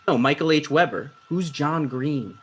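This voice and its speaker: A man's voice: fairly high pitch, a little slow, a little hiss or hum, lightly acted. A man playing a self-important, insecure would-be talk show host, dismissive and argumentative, in a comedy table read.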